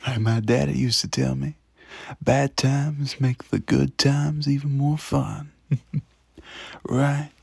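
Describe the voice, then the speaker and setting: Man playing a breathy, gravelly, gentle demon charmer. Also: medium pitch, flat delivery, no room sound, heavily acted. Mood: comforting, folksy.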